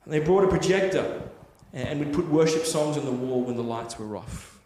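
A man's voice: on the high side, lively intonation, brisk, darkish tone, a little hiss or hum. A man preaching a sermon, telling a story in an earnest, conversational way with frequent tag questions. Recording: echoey hall with long reverb.

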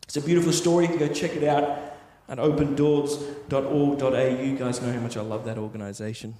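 A man's voice: medium pitch, little pitch movement, quick pace, mellow, a little hiss or hum. A man preaching a sermon, telling a story in an earnest, conversational way with frequent tag questions. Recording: echoey hall with long reverb.

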